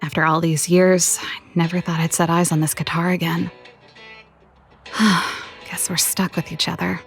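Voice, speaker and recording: A woman voicing a playful, teasing young big-sister inventor and musician in a video game, casual and expressive. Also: on the low side, moderate pace, vocal fry, faint music behind. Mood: wistful.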